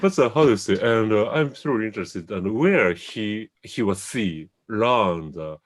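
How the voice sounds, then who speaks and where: A man from Japan, a native Japanese speaker with a Japanese accent, hosting a casual talk in English. He often pauses to search for the right English word. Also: lowish voice, lively intonation. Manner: hesitant, searching for English words. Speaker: host. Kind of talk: casual chat in a second language.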